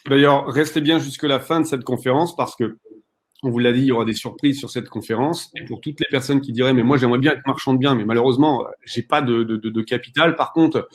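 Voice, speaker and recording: A man in his thirties co-hosting an online conference, telling his story in a direct, animated way with frequent fillers. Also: medium pitch, fast. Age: 30s. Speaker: real estate investor co-hosting a webinar.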